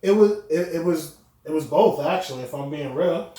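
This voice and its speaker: Young guy with a high voice, laid back, lots of "you know", roomy echo and sitting back from the mic. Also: animated, moderate pace, warm tone. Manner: laid-back, many fillers and restarts. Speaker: podcast guest. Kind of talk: casual chat. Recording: echoey room, mic far off.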